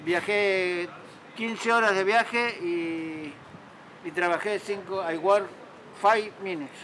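A man with an Argentine Spanish accent, a clown and accordionist, answering interview questions haltingly and slipping into broken English. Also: sits high, bright, forceful tone, slight background noise, low-quality recording. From Entre Ríos province, Argentina. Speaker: street clown and accordion player being interviewed. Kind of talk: casual spoken interview.

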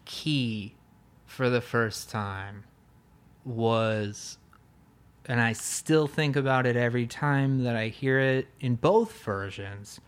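A man hosting a relaxed music interview, talking slowly and winding through his thoughts. His pitch sits in a middle range, and he circles around ideas before landing, dropping the odd casual curse. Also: clean tone. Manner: rambling, searching for words, swears casually. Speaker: podcast host.

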